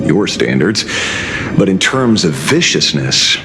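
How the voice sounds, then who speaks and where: An actor playing an amused, theatrical old rogue in a video game, with playful, sly, drawling hesitations. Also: male voice, low voice, big pitch swings, takes it slowly, background noise, heavily acted. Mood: sly, teasing. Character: old male rogue, theatrical, amused, wants peace and quiet. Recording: loud music under the voice.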